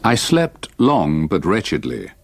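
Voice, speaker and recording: An actor playing a haunted older man, narrating his past terrors in a radio drama with a measured, literary, brooding delivery. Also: low-pitched, wildly swooping pitch, full character voice.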